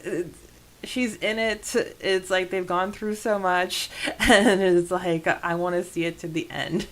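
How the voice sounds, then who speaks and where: A woman's voice: lowish voice, fairly even intonation, slow pace. A woman hosting a podcast that discusses a book, mixing prepared notes with talk. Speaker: podcast host. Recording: noticeable background hiss.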